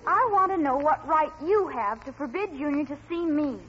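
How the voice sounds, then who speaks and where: High, bossy little girl. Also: lively intonation, moderate pace, a crowd in the background. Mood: indignant. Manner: prim, haughty, emphatic. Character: bossy, prim, precocious twelve-year-old girl. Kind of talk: 1940s radio comedy acting. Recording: muffled, hissy old radio recording.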